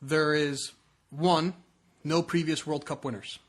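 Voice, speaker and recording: A man's voice: a little high, fairly even intonation, slow pace. A man co-hosting a sports podcast, talking soccer casually and often repeating and rephrasing himself as he explains.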